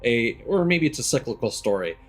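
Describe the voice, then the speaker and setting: A man's voice: medium pitch, sing-song, fairly quick, soft background music. A man streaming a video game, thinking aloud in casual commentary that rambles through frequent ums and restarts.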